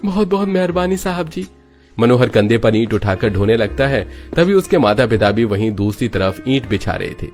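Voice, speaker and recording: A man's voice: fairly low pitch, normal speed, few pauses, a little mellow, faint music behind. A man narrating a scripted, dramatic moral story as its storyteller.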